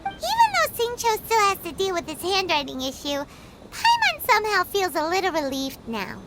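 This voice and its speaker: Brief: a tiny chirpy fairy guide, very high and bouncy, game dialogue over loud background noise. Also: female voice, huge pitch swings, moderate pace, full character voice. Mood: curious. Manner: bubbly, speaks of herself in third person. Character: tiny floating female fairy companion, chirpy and excitable. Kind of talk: video game voice acting.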